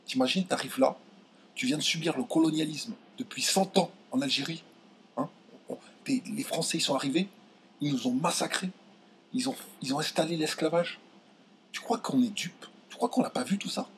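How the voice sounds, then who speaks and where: A young man from France ranting straight to his viewers, indignant and heated, stumbling over his words and pushing his voice up high when he gets angry. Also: moderate pace, slight background noise. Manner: indignant, voice jumps high when outraged, many restarts. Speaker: video commentator. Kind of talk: heated direct-to-camera rant.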